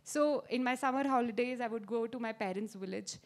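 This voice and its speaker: A woman's voice: on the high side, brisk. A woman in her thirties with an Indian accent, answering questions in an instructive way as a gardening expert at a live talk. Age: 30s. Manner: explanatory, instructive. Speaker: gardening expert and guest speaker.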